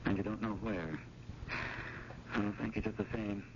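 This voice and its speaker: An actor playing an earnest, anxious museum curator in a 1970s radio drama, speaking with formal, insistent urgency. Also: male voice, lowish voice, moderate pace, dull audio, natural voice. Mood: polite, insistent. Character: John, earnest, anxious male museum curator pursuing a painting. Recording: noisy, band-limited 1970s radio broadcast.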